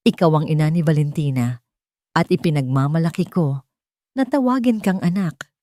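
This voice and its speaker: A woman's voice: deep voice, expressive, moderate pace, mellow, dry recording, studio quality. A woman voicing a grieving, remorseful elderly grandmother in a drama story, subdued and solemn. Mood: tender, apologetic.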